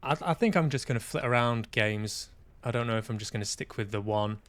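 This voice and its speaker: A man's voice: medium pitch, fairly quick, fairly bright tone, no echo. A man with an English accent hosting a casual livestream, rambling with dry, self-deprecating humour. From Manchester, England. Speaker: podcast host and streamer.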